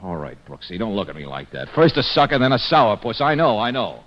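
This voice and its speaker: A man's voice: on the low side, very animated, brisk. An actor playing a tough, sarcastic, exasperated American private eye in an old-time radio drama, wisecracking as he goes. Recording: dull, noisy old radio recording.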